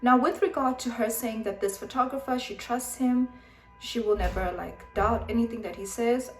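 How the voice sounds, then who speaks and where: Young woman from Zimbabwe, blunt vlog commentary, roomy echo, sits back from the mic. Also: on the high side. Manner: frank, emphatic, with frequent fillers. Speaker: vlogger. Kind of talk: opinion commentary to camera. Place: room with background noise. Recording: echoey room, voice from a distance.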